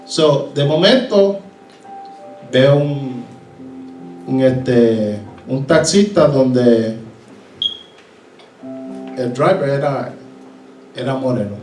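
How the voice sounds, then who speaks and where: A man's voice: medium pitch, animated, drawn-out words, long pauses, a little mellow, far from the mic, soft background music. A man from Puerto Rico with a Puerto Rican accent, a pastor giving his testimony from the pulpit, acting out voices and slipping into English. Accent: Puerto Rican Spanish. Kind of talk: sermon testimony. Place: church hall. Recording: echoey hall, voice from afar.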